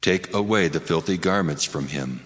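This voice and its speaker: Man acting low, echoing, stern heavenly Lord. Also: normal speed, lightly acted. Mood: solemn, merciful. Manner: solemn, declamatory. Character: stern, authoritative heavenly Lord rebuking and pardoning. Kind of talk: dramatized scripture reading. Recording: long reverb, music bed underneath.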